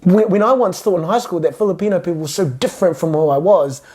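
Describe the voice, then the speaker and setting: A woman's voice: on the low side, animated, fast, mellow. A young woman, co-hosting a podcast and talking candidly and reflectively. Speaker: podcast co-host. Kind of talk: casual conversation.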